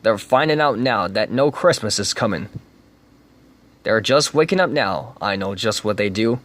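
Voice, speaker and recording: A man reading aloud and voicing a sly, scheming, grumpy male creature who hates Christmas, in a low, hushed, oily manner. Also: expressive, normal speed. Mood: gloating.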